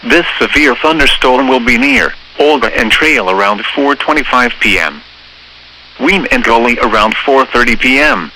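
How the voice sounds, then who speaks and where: Sharp, bright synthetic male weather-radio announcer. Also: mid-range voice, expressive. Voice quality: synthetic text-to-speech voice. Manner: formal, measured, impersonal delivery. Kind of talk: scripted emergency weather bulletin. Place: automated broadcast received over radio. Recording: noisy weather radio broadcast.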